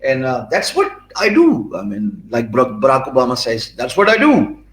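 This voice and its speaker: A man's voice: mid-range voice, huge pitch swings, reverberant. A middle-aged man from Pakistan with a Pakistani accent, speaking English as a second language after Urdu, answering interview questions fluently but with many fillers and restarts. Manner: fluent but filler-heavy, with frequent restarts and emphatic pauses. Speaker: guest.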